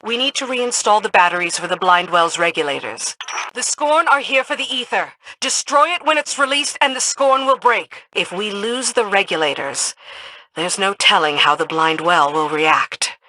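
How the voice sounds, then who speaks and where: A battle-hardened commander with a bright, forceful voice. She is stern and direct, in video game style, over faint noise. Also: mid-range voice, animated, normal speed, low-quality recording. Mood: commanding.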